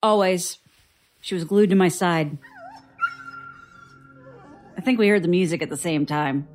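An actress playing a weary, guarded survivor giving dry, reluctant testimony in an audio drama. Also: female voice, fairly low pitch, measured pace, a little mellow, soft background music, lightly acted. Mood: uneasy, reflective. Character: guarded, weary woman survivor recounting childhood strangeness.

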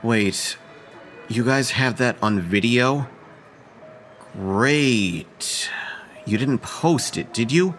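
A man's voice: medium pitch, expressive, extremely slow, pauses often, a bit bright. A young man acting a laid-back but defensive college prankster, speaking in a casual, slangy way in an audio drama. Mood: embarrassed, defensive. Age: young adult. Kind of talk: dramatic dialogue in old time radio style audio play.

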